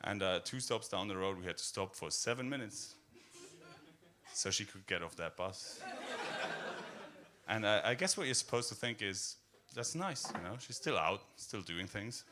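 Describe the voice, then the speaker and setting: Deadpan Austrian man, noisy live recording. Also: lowish voice, normal speed. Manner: deadpan, dark humor, many fillers. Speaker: stand-up comedian. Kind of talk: stand-up set. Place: comedy club stage.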